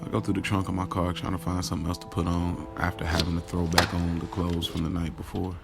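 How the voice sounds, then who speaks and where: Audio drama lead, played by a man: lovestruck, boastful, self-doubting guy. Very low, creaky voice, slangy first-person monologue, talks to listeners like friends. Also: completely flat delivery, fast, over loud music. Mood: wry. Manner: casual, slangy, confiding, addresses listeners directly. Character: smitten, boastful, self-questioning man recounting his love life.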